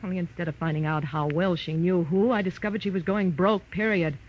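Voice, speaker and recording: A woman's voice: fairly low pitch, steady tone, brisk, slight background noise, close to an everyday voice. A woman playing a sarcastic, tough gangster's girlfriend with snappy, slangy delivery in 1940s radio noir style. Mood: grudging. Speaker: radio drama actress. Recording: muffled old radio broadcast.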